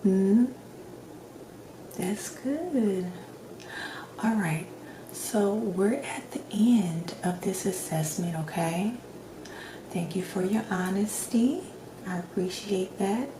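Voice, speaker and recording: A woman speaking softly, at times in a whisper, in a gentle, reassuring ASMR roleplay as a doctor questioning a patient. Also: mid-range voice, slow pace, long pauses. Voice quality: soft, partly whispered. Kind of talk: soft-spoken ASMR roleplay. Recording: roomy echo, clear background noise.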